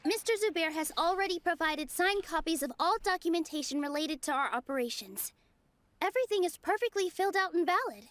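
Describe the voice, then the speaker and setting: A woman's voice: very high voice, animated, fairly quick, fairly bright tone, no room sound. A woman voicing an earnest young female dancer in a video game, speaking articulately and firmly.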